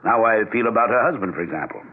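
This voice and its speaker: Animated, learned doctor, old radio. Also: male voice, fairly low pitch, husky. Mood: thoughtful. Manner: didactic, deliberate. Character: learned, frank doctor explaining telepathy. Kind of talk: 1970s radio drama acting. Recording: tinny, phone-like vintage radio broadcast.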